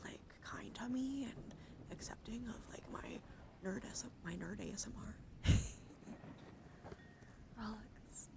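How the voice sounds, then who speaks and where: A woman hosting an ASMR livestream, speaking softly to viewers and often slipping into whispers. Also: medium pitch. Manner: soft-spoken, often dropping into whispers. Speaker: livestream host. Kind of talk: ASMR, soft chat to livestream viewers with card reading. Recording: clearly audible ambient background noise.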